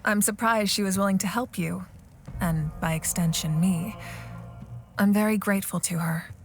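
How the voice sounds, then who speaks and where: A woman voicing a composed, determined war leader and protector in a video game, calm, earnest and measured. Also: medium pitch, natural voice. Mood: gracious. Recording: faint music behind the voice.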